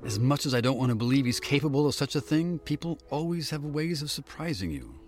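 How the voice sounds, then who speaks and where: A man portrays a devoted, gentle husband in a period audio mystery. His voice is medium in pitch, and he speaks in a measured, reassuring way. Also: lively intonation, close to an everyday voice. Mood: earnest.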